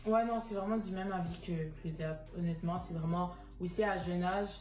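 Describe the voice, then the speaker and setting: A young woman from Montreal speaks reflectively and a little hesitantly, often filling pauses with "genre". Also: on the low side, steady tone. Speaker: panel guest. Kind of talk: casual discussion. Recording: echoey room, soft music.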